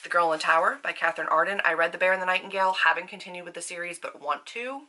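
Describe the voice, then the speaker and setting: A woman talking in a casual, upbeat, chatty vlog style, informal and full of quick asides. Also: lowish voice, steady tone, pushed and bright, rough recording. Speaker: book vlogger and author. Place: indoors at a desk at home.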